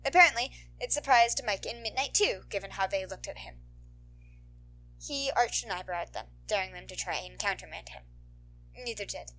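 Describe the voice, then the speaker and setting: A woman reading a story aloud as a narrator, in an expressive audiobook style. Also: mid-range voice, normal speed, low-quality recording. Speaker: podfic narrator.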